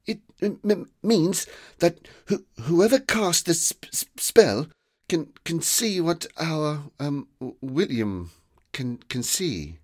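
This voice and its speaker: A man's voice: fairly high pitch, animated, darkish tone, no room sound, studio quality, voice pushed up. A man voicing a nervous young male creature who stutters through every phrase. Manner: stuttering, halting.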